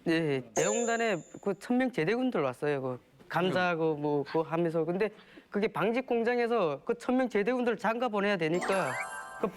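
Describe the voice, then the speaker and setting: A man's voice: high-pitched, lively intonation, normal speed. Man with a Ryanggang North Korean accent. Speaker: panelist.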